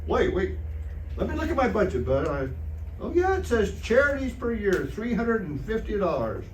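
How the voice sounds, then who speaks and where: A man playing a gullible, excitable, wisecracking husband in an old-time radio comedy, with a folksy, stammering, exclamatory delivery. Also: high voice, moderate pace. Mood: excited. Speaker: amateur theater troupe actor. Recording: roomy, distant stage recording with faint noise.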